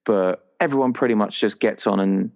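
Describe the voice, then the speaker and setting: A man's voice: on the low side, moderate pace, creaky, a little mellow. A man chatting casually as a roleplayer talking through his game character. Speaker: podcast guest, tabletop roleplayer.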